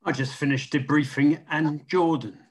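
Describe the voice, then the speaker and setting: A man's voice: fairly high pitch, steady tone, moderate pace, slightly rough. A man playing a tough, insistent colony security chief in a screenplay table read. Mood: grave, reporting.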